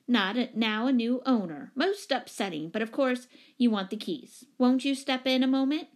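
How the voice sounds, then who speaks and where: A woman voicing a large, commanding, haughty lady, booming, imperious and fussy, in a storybook reading. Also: lightly acted. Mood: flustered, formal.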